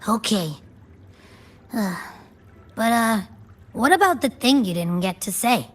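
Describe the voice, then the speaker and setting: An actress playing an earnest young woman in a game drama, asking things in a tentative, halting way. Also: a little high, animated. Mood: hesitant, hopeful.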